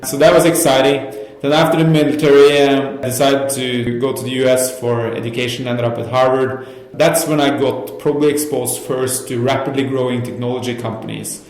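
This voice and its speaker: In a roomy, echoey indoor space, a man from Norway tells his story as an interview guest. His pitch is average for a man, and his phrasing is conversational and enthusiastic, with plenty of you-knows. He speaks as a native speaker of Norwegian. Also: a little mellow. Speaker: interview guest, startup founder. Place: indoor co-working office.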